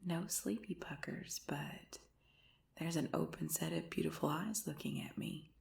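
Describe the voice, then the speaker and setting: Woman acting a breathy, whispering sleepy girlfriend. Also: low voice, unhurried, exaggerated voice. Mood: fond, amused. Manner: soft whispering and murmuring. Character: affectionate sleepy girlfriend murmuring in bed. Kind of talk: ASMR girlfriend roleplay.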